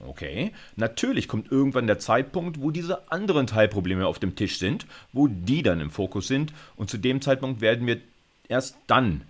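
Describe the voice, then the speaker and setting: A man's voice: medium pitch, big pitch swings, normal speed. A man in his fifties giving an explanatory university video lecture in an informal, emphatic, conversational way, often checking in with short tag questions. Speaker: university computer science lecturer.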